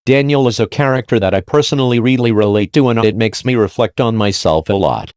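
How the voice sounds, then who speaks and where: A man's voice: mid-range voice, brisk, darkish tone, clean tone, dry recording, clean studio sound. A man hosting and narrating a scripted countdown review in an informal, slangy way. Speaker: video host and reviewer.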